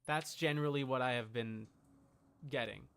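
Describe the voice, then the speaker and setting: A man chatting casually while livestreaming a video game, rambling and thinking out loud in an unsure way. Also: medium pitch, normal speed, gravelly, clean tone.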